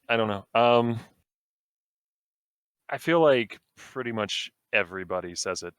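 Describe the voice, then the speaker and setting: A young man talking casually as a podcast guest, relaxed and rambling with lots of fillers. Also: sits low, vocal fry, no room sound, studio quality. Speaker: guest streamer.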